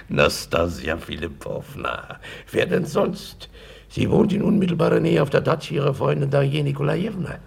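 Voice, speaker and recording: An actor playing an obsequious, gossipy schemer in a radio play, gravelly and husky, wordy and insinuating in a servile way. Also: male voice, medium pitch, sing-song, unhurried, exaggerated voice. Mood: secretive, insinuating. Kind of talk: German radio play acting.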